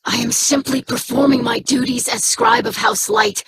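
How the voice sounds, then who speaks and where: Formal young alien scribe, dignified and precise, clear hiss under the voice. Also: female voice, sits high, sing-song, normal speed, heavily acted.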